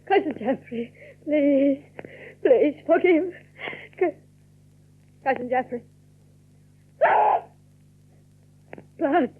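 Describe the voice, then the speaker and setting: An actress playing an anxious, devoted older spinster in an audio drama, tremulous and pleading in manner. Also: female voice, high-pitched. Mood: panicked, horrified. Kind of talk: theatrical audio drama acting. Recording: muffled, thin old radio-drama recording.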